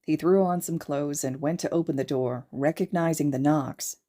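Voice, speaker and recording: A woman's voice: low-pitched, normal speed, mellow. A woman reading a story aloud as a podfic narrator, in scripted narration. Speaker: podfic reader and host.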